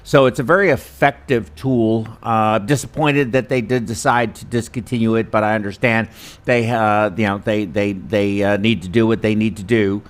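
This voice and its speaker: A man hosting an informal instructional webinar, talking in a chatty, self-deprecating, halting way. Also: on the low side, steady tone. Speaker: host and perfusionist instructor. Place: studio.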